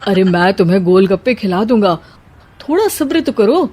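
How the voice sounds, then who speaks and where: A woman's voice: mid-range voice, sing-song, moderate pace, a little mellow. A woman voicing a patient young husband in a cartoon story, soothing and mildly exasperated. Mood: placating. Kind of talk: Hindi cartoon story dialogue.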